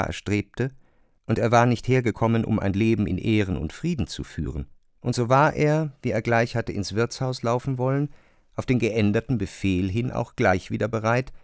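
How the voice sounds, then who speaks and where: A man reads literary narration for a radio drama. His voice is mid-pitched and recorded very dry and close, with no room around it. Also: dry recording. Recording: dry studio.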